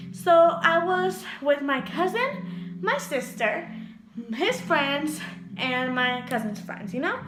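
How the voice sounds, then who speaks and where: A young woman telling a storytime tale in a vlog, animated and giggly, acting out voices as she goes. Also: sits high. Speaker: vlogger. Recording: echoey room.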